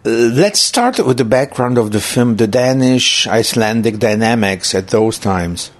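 A man's voice: medium pitch, lively intonation, measured pace. A man working as a radio interviewer at a film festival, introducing his guest and asking direct, brief questions.

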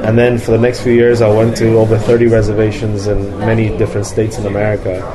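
A man who grew up in London, a film director answering interview questions in a halting way, with many fillers and restarts. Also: lowish voice, completely flat delivery, low-quality recording. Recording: crowd noise behind.

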